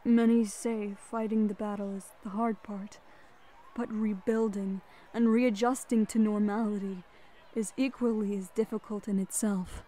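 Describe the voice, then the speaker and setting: A wise, stately pony queen, acted by a woman for a radio drama. She speaks slowly in a mellow, motherly voice with calm formality, over faint background ambience. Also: medium pitch, slight background noise, natural voice, rough recording. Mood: solemn. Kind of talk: fan radio drama acting.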